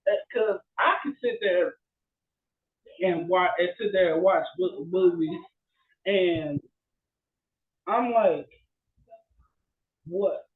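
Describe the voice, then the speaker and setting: A man with a high voice talks casually as a podcast co-host. He tells stories in an animated way, often seeking agreement. The recording is echoey and somewhat muffled, with limited bandwidth. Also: takes it slowly, long pauses. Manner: animated storytelling with frequent "right?" check-ins. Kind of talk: casual movie chat, retelling plots.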